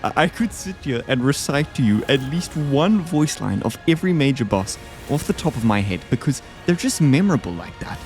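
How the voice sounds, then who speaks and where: Lively man doing casual video commentary. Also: on the high side. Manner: informal, slangy. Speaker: video host ranking game bosses. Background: background music.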